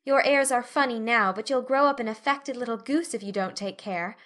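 A woman's voice: a little high, fairly quick, fairly bright tone, pitched above the performer's own voice, lightly acted. A woman voicing a prim, motherly teenage eldest sister in an audiobook story, genteel and reproving in manner. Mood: lecturing. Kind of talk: audiobook character acting.

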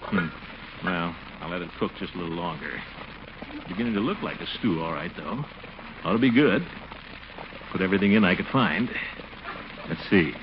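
A man's voice: low-pitched, lively intonation, moderate pace, long pauses. An actor playing a pompous, easily flustered middle-aged family man in a 1940s radio comedy, blustering and muttering to himself. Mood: musing. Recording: muffled, noisy 1940s radio recording.

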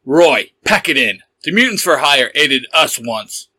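A man voicing a calm male superhero leader who talks in a steady, reasoning way. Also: mid-range voice, unhurried, no echo, exaggerated voice.

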